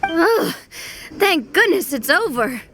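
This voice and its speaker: A woman's voice: very high voice, big pitch swings, takes it slowly, background noise, heavily acted. A woman playing a cheerful, spirited young noblewoman in a video game. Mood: relieved. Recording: music behind the voice.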